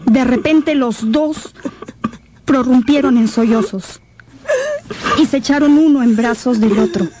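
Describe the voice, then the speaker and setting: A woman's voice: fairly high pitch, unhurried, long pauses, warm tone, a little hiss or hum. A woman narrator telling a radio novel in a solemn, suspenseful, dramatic scripted style. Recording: dramatic music behind the voice.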